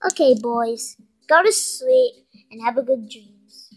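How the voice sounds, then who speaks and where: A woman's voice: a little high, expressive, slow, soft background music. A young female performer playing a bossy, scolding girl in an amateur skit, with a commanding, childlike manner. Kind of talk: improvised amateur skit with character voices.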